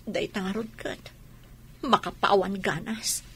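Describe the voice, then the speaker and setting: A woman's voice: mid-range voice, fairly even intonation, unhurried, a bit bright, slight background noise, natural voice. A woman playing a weary, patient wife in an Ilocano radio drama, speaking in a pleading, apologetic manner. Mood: worried, resigned. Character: weary, patient wife left waiting for money.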